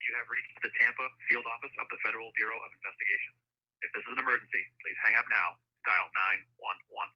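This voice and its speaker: A man's voice: lowish voice, fairly even intonation, fast. A man with an American accent reading a scripted recorded menu over a telephone as an automated announcer. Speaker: automated phone line announcer. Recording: muffled phone line on speaker.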